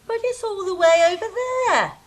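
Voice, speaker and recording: Castle dung shoveler, woman actor: high, lively swooping voice, coarse and cheeky, comedy audio drama. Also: moderate pace. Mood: cheeky, blunt. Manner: blunt, earthy colloquial speech. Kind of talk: comic radio-play acting.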